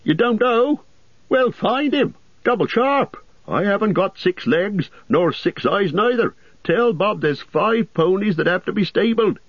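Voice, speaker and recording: A man voicing a bustling, forgetful, talkative middle-aged innkeeper in a storybook reading, in a high, hurried, fussy voice. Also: animated, husky, no echo, dull audio, heavily acted. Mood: bossy, hurried.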